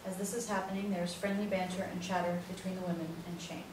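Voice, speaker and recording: A woman's voice: lowish voice, level tone, quick pace. A woman reading scripted stage directions as the narrator of a play. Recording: very echoey room, distant mic, noisy.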